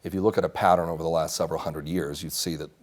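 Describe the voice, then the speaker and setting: A man's voice: extremely low pitch, fairly even intonation, racing. A man in his thirties who grew up in Mexico, giving earnest, assertive answers as a candidate in a TV studio interview, often restarting his sentences. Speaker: congressional candidate. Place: small TV studio set.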